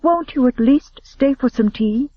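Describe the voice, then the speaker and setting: A man's voice: very high-pitched, animated, unhurried, slightly rough, no echo, dull audio, pitched above the performer's own voice, full character voice. A man voicing an anxious, devoted young noblewoman who speaks in a breathless, pleading way.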